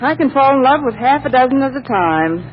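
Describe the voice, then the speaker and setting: A woman's voice: high-pitched, expressive, a little fast. A worldly, sardonic roommate drawls her wisecracks bossily in old radio comedy style. The recording is dull, with faint noise behind her. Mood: dry, grumpy. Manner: dry, drawling, bossy. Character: wisecracking, worldly roommate, a thrice-married woman. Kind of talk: 1940s radio comedy acting.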